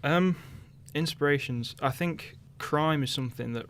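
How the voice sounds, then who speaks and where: A man's voice: on the high side, a little slow, a bit bright. A young man chatting casually in a radio interview, thinking aloud hesitantly. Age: young adult. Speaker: interviewed radio drama writer.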